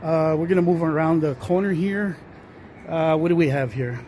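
A man with a fairly high voice narrates a casual tour as he walks through a large indoor mall, filming on a handheld phone. He rambles, trailing off into fillers and restarting his sentences. Faint hum of the mall behind him. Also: moderate pace, dark tone. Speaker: vlogger touring a mall. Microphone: handheld phone camera, speaker off screen.

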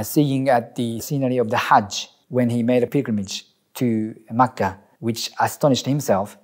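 Japanese-accented man, middle-aged, halting interview testimony, mid-pitched voice, thoughtful restarts. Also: darkish tone. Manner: halting, reflective, with restarts and fillers. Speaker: imam.

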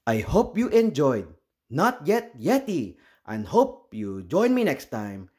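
Slow, animated man reading a storybook aloud. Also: a little high. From Philippines. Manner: expressive, acts out dialogue and sound words. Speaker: children's storybook reader and host.

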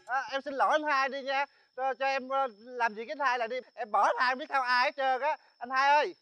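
A man's voice: very high-pitched, fast talker, soft background music. A man playing a fawning bandit sidekick who nags and complains at his boss. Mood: pleading. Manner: flattering, then whiny nagging complaints. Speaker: comedy sketch actor. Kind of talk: comic sketch acting.

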